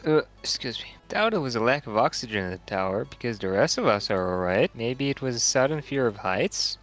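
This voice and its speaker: A man's voice: medium pitch, animated, brisk, fairly bright tone, pitched below the performer's own voice, natural voice. A man voicing a spirited, outspoken teenage girl apprentice in an amateur game playthrough. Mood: concerned, teasing. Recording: music under the voice.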